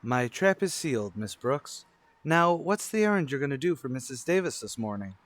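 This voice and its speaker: A man playing an eager teenage high school student in an old-time radio sitcom, florid, earnest and cheeky. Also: big pitch swings, normal speed, no room sound, lightly acted.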